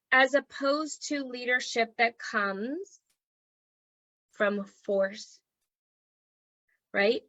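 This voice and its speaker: A woman's voice: a little high, bright, forceful tone, no echo, lo-fi sound. A woman teaching an online group in a casual, encouraging way. She keeps checking in with "okay?" and her voice is worn from losing it. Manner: chatty and encouraging, frequent "okay?" check-ins. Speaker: human design teacher.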